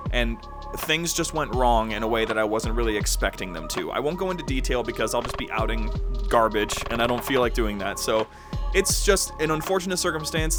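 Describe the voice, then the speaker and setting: A man hosting an upbeat, conversational update for fans, talking in an enthusiastic, informal and emphatic way. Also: mid-range voice. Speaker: podcast host and producer giving a channel overview. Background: background music.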